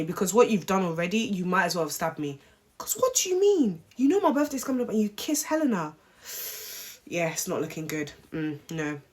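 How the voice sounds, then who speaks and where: Brief: woman in her twenties, sassy, animated, opinionated recap vlogger. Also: medium pitch. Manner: animated, sassy, mimics cast members. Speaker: reality show recap vlogger.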